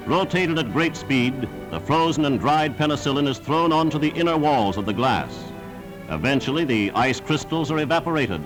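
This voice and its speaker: A man's voice: mid-range voice, low-quality recording. A man narrating a 1940s wartime newsreel in a formal, declamatory scripted style. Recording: 1940s newsreel soundtrack with music.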